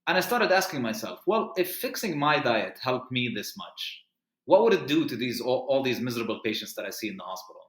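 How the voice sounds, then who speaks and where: A man's voice: medium pitch, very animated, fairly quick, fairly bright tone, echoey room, low-quality recording. A man who grew up in the Middle East, a physician guest on a podcast, explaining earnestly with frequent fillers and restarts. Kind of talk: podcast interview.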